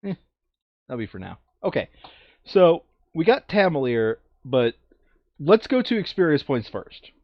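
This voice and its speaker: A man's voice: a little high, very animated, measured pace, no echo, muffled recording. A man playing a board game, casually narrating the steps and muttering asides to himself as he works through them. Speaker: tabletop game player and narrator.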